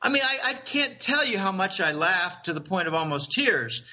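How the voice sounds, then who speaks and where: A man's voice: sits high, very animated, normal speed, bright, forceful tone. A middle-aged man casually telling rambling, conspiratorial stories, chuckling and restarting his sentences.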